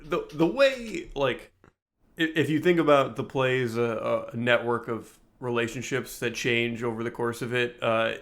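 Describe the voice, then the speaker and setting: A man's voice: medium pitch, normal speed, fairly bright tone. A man co-hosting a podcast, chatting casually with a wry, joking manner. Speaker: podcast co-host. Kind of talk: casual chat.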